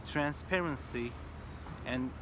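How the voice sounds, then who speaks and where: A man with a Turkish accent speaks English as a second language, delivering a sincere, measured commentary full of small pauses and "uh"s. His voice sits at an ordinary middle pitch. Clear traffic and street noise from an outdoor spot runs behind him. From Turkey. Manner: earnest, measured, with frequent hesitations. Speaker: video commentator. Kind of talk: personal spoken commentary to camera.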